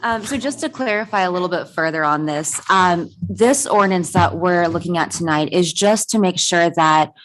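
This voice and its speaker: A soft-spoken woman serving as city attorney, methodically explaining an ordinance at a commission meeting. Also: fairly low pitch, moderate pace, few pauses. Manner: clarifying, methodical.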